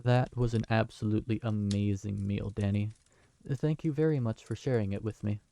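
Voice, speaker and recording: A man's voice: fairly low pitch, moderate pace, creaky, heavy background noise. A man voicing a kind, awkward tavern keeper who talks in a hesitant, friendly way. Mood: grateful. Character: kind, awkward young male tavern keeper named Briar. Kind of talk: fantasy audio roleplay.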